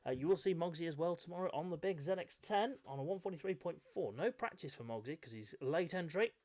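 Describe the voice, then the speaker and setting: Croaky man commentating a race. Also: on the high side, animated, fast, darkish tone. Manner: chatty, self-mocking asides. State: croaky from a chest infection. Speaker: race commentator and event host. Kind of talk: live esports race commentary.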